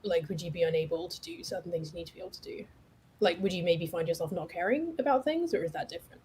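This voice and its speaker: A woman's voice: fairly low pitch, expressive, fast, a little mellow, a little hiss or hum, low-quality recording. A woman chatting casually as co-commentator on a game playthrough, with a dry, thoughtful manner. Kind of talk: casual chat.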